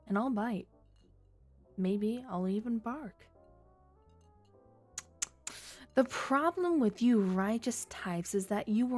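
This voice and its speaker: A woman's voice: medium pitch, a little mellow. Flirty, taunting underworld rogue woman, acted, music behind. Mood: teasing, seductive. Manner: teasing, sardonic, drawn-out drawl. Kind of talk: flirty ASMR audio roleplay.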